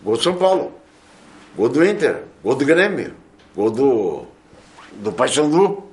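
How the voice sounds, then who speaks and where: A man's voice: medium pitch, very animated, measured pace. A sports radio announcer telling anecdotes and acting out his goal calls with animation. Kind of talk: anecdotal interview.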